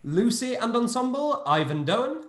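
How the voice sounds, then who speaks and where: A man hosting an online play reading, briskly announcing each cast member over a video call. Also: very high voice, roomy sound. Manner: brisk, announcing names in a lively sing-song. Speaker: host and producer.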